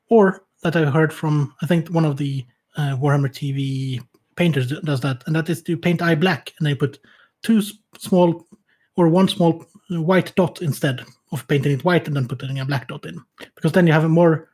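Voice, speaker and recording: A man talks on a hobby podcast in a level, flat way that rarely lifts. His voice sits fairly high for a man. He sounds relaxed and unhurried. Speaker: podcast co-host. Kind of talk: casual podcast chat.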